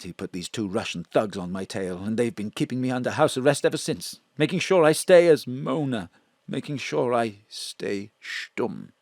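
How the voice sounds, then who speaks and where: A man's voice: mid-range voice, darkish tone, dry recording. An actor playing a frightened male drag performer, with a theatrical, swooping storytelling manner. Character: male drag performer Bruno, also Mona, frightened fugitive. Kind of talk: audio drama acting. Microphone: home studio mic.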